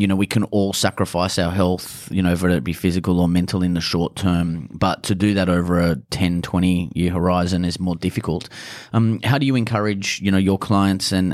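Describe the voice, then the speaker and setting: A man hosting an interview, asking questions in a relaxed, hesitant way. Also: low-pitched, no room sound, clean studio sound. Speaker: podcast host.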